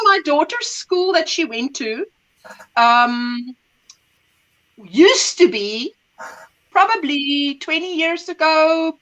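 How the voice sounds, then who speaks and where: A woman's voice: high voice, fairly bright tone, lo-fi sound. A middle-aged woman, an Afrikaans speaker, hosting a casual livestream chat, rambling and searching for words as she reads comments. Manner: chatty, rambling, searches for words, laughs often. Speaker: livestream host.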